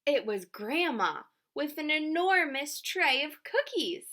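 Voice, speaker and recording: A woman reading a story aloud for young children, expressive and speaking to them directly. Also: high-pitched, takes it slowly. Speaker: children's story reader, teacher.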